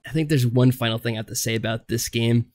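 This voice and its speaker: A man's voice: mid-range voice, fairly even intonation, fast, a little mellow. A man chatting casually as co-host of a review podcast, giving his opinions in everyday conversational speech.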